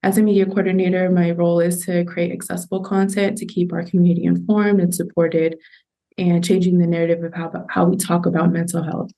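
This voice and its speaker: Even-toned, mellow woman. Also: lowish voice, a little fast. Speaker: media coordinator, panelist. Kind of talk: panel self-introduction.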